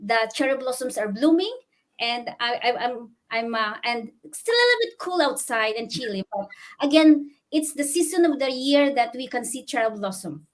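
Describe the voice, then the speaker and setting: A woman's voice: fairly high pitch, animated, normal speed. A woman hosting a livestream interview, addressing viewers in an effusive, emphatic way and often repeating her phrases. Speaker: host interviewing a guest.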